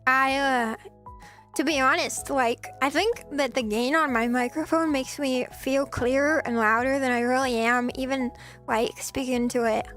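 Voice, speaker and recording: A young woman streamer chatting casually with viewers in a soft, giggly voice, her throat a little scratchy. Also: high-pitched, steady tone, a little slow, soft background music. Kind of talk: casual chat.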